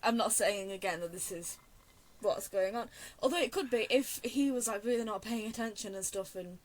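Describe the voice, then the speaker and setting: A woman's voice: mid-range voice, moderate pace, rough recording. A chatty young woman giving casual, digressive, self-deprecating game commentary. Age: young adult. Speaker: game streamer.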